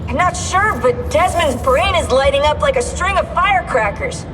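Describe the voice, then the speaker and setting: An actress playing an alarmed technician in a video game, watching over a patient. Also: female voice, high-pitched, expressive, normal speed. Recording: distant, noisy echoing hall.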